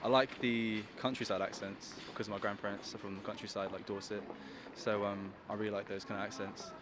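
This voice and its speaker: Lowish London man on a noisy street. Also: flat delivery, a little fast. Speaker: interviewee. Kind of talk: casual interview answer. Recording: noisy outdoor street with traffic.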